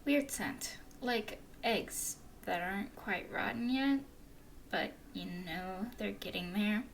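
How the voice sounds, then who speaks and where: A woman voicing a flirty, teasing female werewolf explorer in an ASMR roleplay, speaking in a soft whisper with a playful drawl. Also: mid-range voice, takes it slowly, slight background noise.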